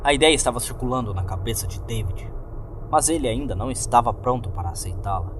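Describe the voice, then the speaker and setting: A man's voice: mid-range voice, expressive, fast talker, slight background noise. A man with a Brazilian accent reading a scripted horror story as a dramatic narrator. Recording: music bed behind the voice. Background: background music.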